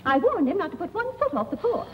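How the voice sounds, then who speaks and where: Worrying mother, querulous, very animated high voice, old film sound, faint hiss. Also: fast. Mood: indignant. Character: fussy, indignant, worrying small-town mother. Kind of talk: 1940s film acting. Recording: muffled, noisy 1940s film soundtrack.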